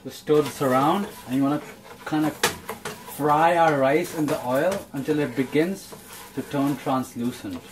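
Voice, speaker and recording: A man's voice: on the high side, measured pace, low-quality recording. A young man hosting a cooking channel, walking through a recipe step by step in a friendly, upbeat way in a small home kitchen. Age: young adult.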